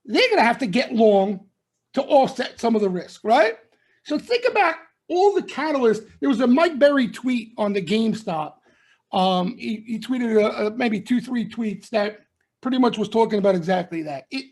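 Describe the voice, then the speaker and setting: A man's voice: very high voice, animated, normal speed, a little mellow. A middle-aged man hosting a trading broadcast, giving blunt, emphatic market talk in street-wise slang and constantly checking in with "okay?" and "right?". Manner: emphatic, punctuates nearly every point with "okay?" and "right?". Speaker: trading room host. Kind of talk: live market commentary broadcast.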